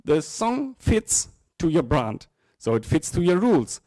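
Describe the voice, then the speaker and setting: Middle-aged man presenting, halting and informal. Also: on the high side, very animated, warm tone, dry recording. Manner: informal, halting, with repeated words. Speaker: software presenter. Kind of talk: live technical presentation. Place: indoor presentation room with small audience. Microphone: handheld microphone.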